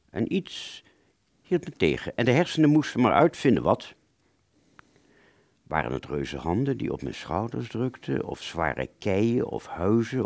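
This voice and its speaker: Deep, gravelly, dramatic male storyteller. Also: darkish tone, no echo. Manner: hesitant, conversational, with drawn-out words and sudden emphasis. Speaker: storyteller in an audio play. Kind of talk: dramatic first-person narration.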